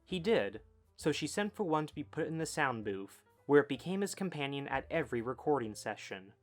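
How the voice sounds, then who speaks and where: A man's voice: fairly high pitch, sing-song, brisk. A man reading scripted documentary narration as a video essay narrator. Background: background music.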